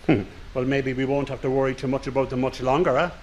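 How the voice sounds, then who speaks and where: A man's voice: mid-range voice, level tone, fast talker, darkish tone, highs cut off, a little hiss or hum, close to an everyday voice. A man playing a sly, insolent circus hand in a radio drama, with a mocking, smug way of talking. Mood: sneering. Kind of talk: naturalistic radio drama acting.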